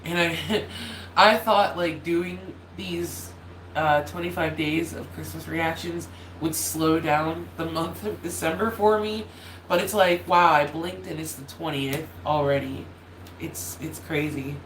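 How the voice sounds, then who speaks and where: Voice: medium pitch, a little slow, a bit bright. Nasal streamer rambling at home, voice kinda cracking, roomy echo and a faint heater hum. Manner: apologetic, loses track and restarts often. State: voice slightly cracking. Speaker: YouTuber hosting a holiday livestream. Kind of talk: casual rambling chat to viewers. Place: room at home with a loud space heater.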